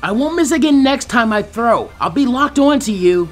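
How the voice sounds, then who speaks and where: A man's voice: very high-pitched, lively intonation, normal speed, faint music behind, pitched above the performer's own voice. A man voicing a confident, teasing teenage girl ninja and weapons expert in an anime fan fiction audiobook.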